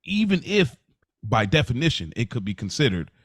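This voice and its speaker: Us guy on a podcast, mid voice, slangy and halting, reasoning things out, dry close recording. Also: medium pitch, very animated, breathy edge, dry recording. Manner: slangy, halting, thinking aloud. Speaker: podcast host. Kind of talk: casual reflective chat.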